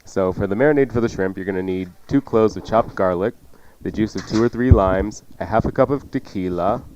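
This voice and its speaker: A man's voice: fairly low pitch, fairly quick, a little mellow, highs cut off, a little hiss or hum. A man hosting a cooking show, chatting through recipe instructions off the cuff with joking asides. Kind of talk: casual unscripted radio cooking instruction.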